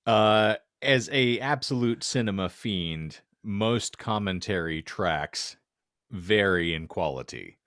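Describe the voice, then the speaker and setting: Deep-voiced, wordy man, very dry sound. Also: lively intonation, slow, fairly bright tone, clean tone, no echo. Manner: wordy, mock-formal phrasing. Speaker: podcast co-host. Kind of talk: casual chat.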